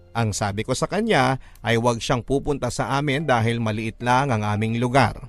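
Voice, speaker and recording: A man with a Filipino accent reads a first-person story in an emotive, earnest, classic radio drama narration style. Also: mid-range voice. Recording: narration over background music. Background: background music.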